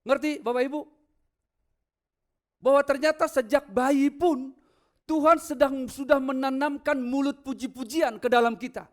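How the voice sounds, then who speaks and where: Male pastor, high voice, emphatic sermon delivery that moves between quiet asides and loud proclamation. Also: fairly even intonation, normal speed, pauses often. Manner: rhetorical questions to the congregation. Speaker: pastor preaching. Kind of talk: sermon with scripture readings.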